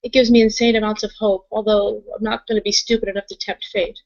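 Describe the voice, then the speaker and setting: A woman playing an outspoken, hopeful, excitable member of a werewolf pack in a tabletop roleplaying game. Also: medium pitch, quick pace, dry recording, highs cut off, close to an everyday voice. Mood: excited. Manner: emphatic, frank, casual.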